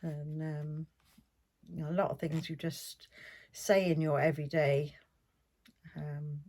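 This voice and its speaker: A woman's voice: sits low, level tone, slow pace, mellow. Chatty older South Welsh woman. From South Wales. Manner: chatty, meandering, homely. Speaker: podcast host. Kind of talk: casual podcast chat.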